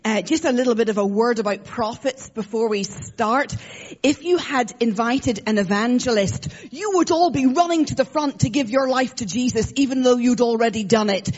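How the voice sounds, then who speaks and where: A woman's voice: on the high side, normal speed, a little mellow, muffled recording. A woman with an Irish accent, a guest preacher giving a conversational sermon, chatty and joking with frequent fillers. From Ireland.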